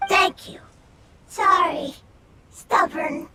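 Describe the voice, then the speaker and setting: A woman voicing small, gentle forest spirit creatures that talk slowly in short broken fragments, in a video game. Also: slight background noise, exaggerated voice. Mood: grateful. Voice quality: high and sing-song. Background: game music.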